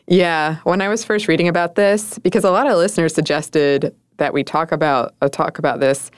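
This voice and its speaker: Woman podcast host, kinda low smooth voice, chatty, laughs a lot, dead dry studio sound. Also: steady tone, a little fast, no room sound. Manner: chatty, laughs often, thoughtful. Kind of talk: casual conversational podcast.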